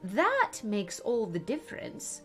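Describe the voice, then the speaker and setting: A woman's voice: mid-range voice, wildly swooping pitch, takes it slowly, fairly bright tone, soft background music, pitched above the performer's own voice, heavily acted. A woman voicing an earnest, puzzled, polite young girl in a storybook, with a questioning manner. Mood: reasoning. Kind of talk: storybook character voice.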